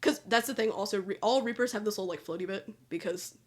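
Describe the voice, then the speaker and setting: A woman's voice: medium pitch, fast. A young woman chatting casually while she draws, rambling and restarting her sentences, with a somewhat nasal voice. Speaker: channel host. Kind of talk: casual chat while drawing.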